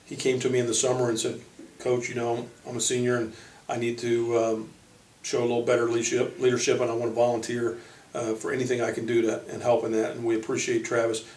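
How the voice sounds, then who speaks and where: A man who coaches college basketball, giving informal player profiles in a halting way, pausing mid-sentence. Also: medium pitch, completely flat delivery, a little mellow. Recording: roomy echo, distant microphone.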